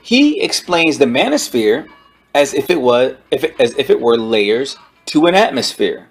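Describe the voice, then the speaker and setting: A man giving an informal spoken book review, explaining his points in a halting way over background music. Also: medium pitch, very animated, breathy edge.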